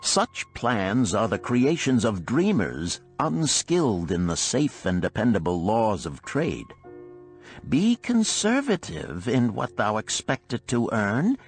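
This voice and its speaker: A man voicing a wise, cautious older gold lender of ancient Babylon in a storybook, solemn and deliberate in archaic speech. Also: mid-range voice, huge pitch swings, faint music behind, heavily acted. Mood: approving, cautioning. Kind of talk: storybook character reading.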